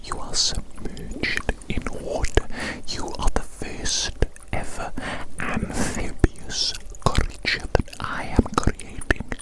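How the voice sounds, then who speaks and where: A woman's voice: lightly acted, rough recording. A woman playing a gleeful evil mad scientist in an ASMR roleplay, whispering breathily and coaxingly, repeating phrases theatrically as they dote on their creature. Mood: doting, gloating. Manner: slow, repetitive, theatrical, coaxing.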